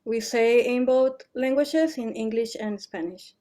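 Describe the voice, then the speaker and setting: A woman's voice: on the high side, unhurried, noisy background. A woman organizing an event, talking informally in an online meeting and often feeling for her words.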